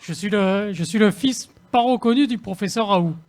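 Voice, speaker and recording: Comic guest, a man playing a professor's unrecognized son: halting, deadpan, high voice. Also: lightly acted. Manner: stammering deadpan, halting restarts. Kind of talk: comic improvised sketch. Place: holiday village studio with masked audience.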